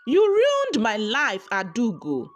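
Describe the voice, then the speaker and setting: Bitter young village woman played by a female storyteller, wounded and accusing, swinging pitch, folktale. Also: huge pitch swings, slow, heavily acted. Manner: accusing, scornful.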